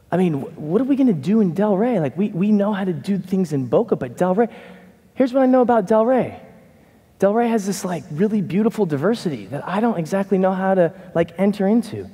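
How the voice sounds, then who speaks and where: A middle-aged man preaching a conversational sermon from a church stage, animated and casual. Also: high voice. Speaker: pastor. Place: church stage in a large hall. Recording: reverberant hall.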